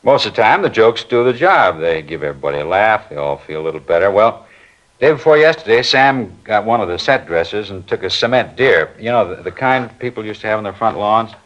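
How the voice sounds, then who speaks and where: Fast-talking chatty studio hand. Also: male voice, on the low side, expressive, a bit bright. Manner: chatty, rambling storyteller. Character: talkative male film studio worker, friendly witness. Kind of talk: 1950s radio crime drama. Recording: muffled old radio recording.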